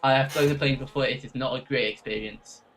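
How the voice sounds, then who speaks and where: Casting: jokey co-commentator, bantering, set back from the mic with faint hiss. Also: male voice, mid-range voice, fairly even intonation, fast, a bit bright. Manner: joking, laughing banter. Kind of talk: casual live race co-commentary. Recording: distant mic with faint noise.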